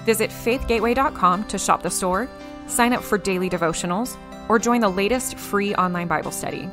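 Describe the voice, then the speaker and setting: Female podcast host, scripted delivery, medium register, clear music bed behind. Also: mid-range voice, a little fast. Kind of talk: scripted narration.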